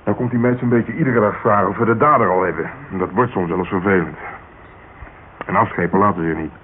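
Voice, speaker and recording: An actor playing a wry, cynical police detective in a radio drama, speaking colloquial in an offhand way. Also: male voice, lowish voice, fast talker, lightly acted. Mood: weary, sardonic. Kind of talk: Dutch radio drama dialogue. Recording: dull, hissy old radio recording.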